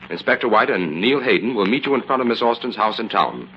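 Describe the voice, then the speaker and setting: An actor playing a calm, confident, methodical crime-fighting hero in an old-time radio adventure. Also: male voice, lowish voice, fast, lightly acted. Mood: calm, resolute. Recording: muffled, noisy old radio recording.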